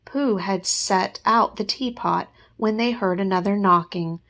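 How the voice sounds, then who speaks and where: Female storybook reader, teacherly, unhurried and expressive, with creak in the voice. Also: medium pitch. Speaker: teacher reading aloud. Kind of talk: expressive children's storybook narration.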